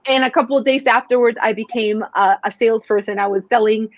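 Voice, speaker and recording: A woman's voice: fairly high pitch, fairly even intonation, fast talker, dry recording. A woman in her forties from Mexico, a native Spanish speaker, telling stories earnestly as a sales coach guest, with many fillers. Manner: earnest, storytelling, frequent fillers. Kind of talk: casual interview talk. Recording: dull lo-fi sound.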